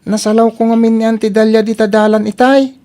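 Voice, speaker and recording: A woman acts out a meek, helpful young Filipino relative in an Ilocano radio comedy. The voice is on the high side and soft-edged, mellow in tone. The delivery is polite and hesitant. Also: level tone, brisk, natural voice. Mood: hesitant, sheepish. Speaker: radio drama actor.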